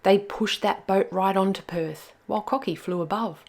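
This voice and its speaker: A woman's voice: medium pitch, moderate pace, a little mellow. A woman storyteller reading a rhyming children's picture book aloud with expression.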